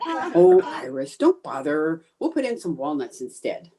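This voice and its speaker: A woman's voice: deep voice, sing-song, a little slow, background noise. A woman playing a scatterbrained, stubborn, cheerful housewife in an old-time radio comedy, with theatrical, emphatic delivery. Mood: blithely confident.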